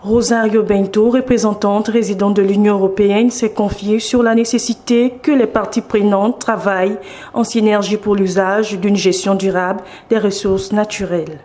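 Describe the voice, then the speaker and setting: A woman narrating a scripted introduction in a smooth, even reading voice. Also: mid-range voice, normal speed.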